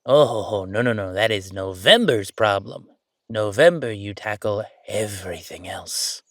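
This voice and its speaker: A man playing a bossy, playful yet sentimental ghost roommate in a conversational roleplay audio drama, with animated, teasing banter. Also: fairly low pitch, a little slow, dry recording, studio quality.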